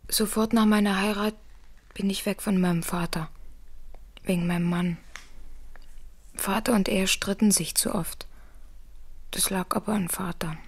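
An actress playing a defensive young married woman in a radio crime play, subdued and guarded, with a breathy voice. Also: medium pitch, steady tone, measured pace, pauses often. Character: defensive young married daughter, a kindergarten teacher.

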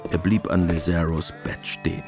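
Deep male radio play narrator. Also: level tone, moderate pace, music in the background.

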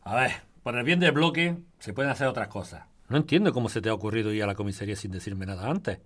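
An actor with a Spanish accent playing an excitable residents' association president in an audio drama. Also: male voice, fairly low pitch, fast talker. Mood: exasperated. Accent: Spanish from Spain. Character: irritable yet flirty male residents' association president, builder.

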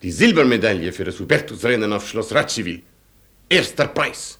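An actor playing a proud, gruff Polish colonel of noble birth in a German radio play, pompous, clipped and commanding. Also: male voice, lowish voice, very animated, normal speed, fairly bright tone. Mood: indignant.

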